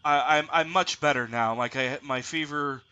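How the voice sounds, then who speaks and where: A man narrating as dungeon master of a tabletop game, halting with many fillers, congested with a lingering cough. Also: a little high, pushed and bright, smooth, muffled recording. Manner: halting, many ums and uhs.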